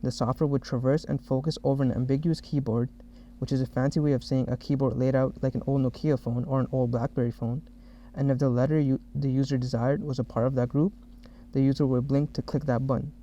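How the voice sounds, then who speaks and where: A man narrating a software demo as the developer who made it, explaining each step. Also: medium pitch, level tone, brisk, a little hiss or hum. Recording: dull, muffled lo-fi audio.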